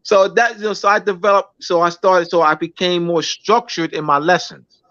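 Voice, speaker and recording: A man, a schoolteacher, talking in a casual interview, halting with many restarts and fillers. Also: high voice, steady tone, fairly bright tone. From United States. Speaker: schoolteacher guest. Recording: dull lo-fi recording.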